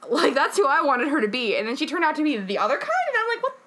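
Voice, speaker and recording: A woman's voice: high voice, sing-song, quick pace, fairly bright tone. A woman from Massachusetts with a New England accent, hosting a casual podcast chat, blunt and swearing freely. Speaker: podcast host.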